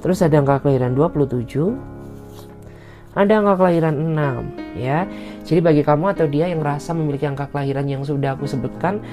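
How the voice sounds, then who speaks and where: A woman's voice: low-pitched, fast. A woman tarot reader with an Indonesian accent, chatting casually and speaking the feelings of the other person in the first person. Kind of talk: casual tarot reading monologue. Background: soft guitar music.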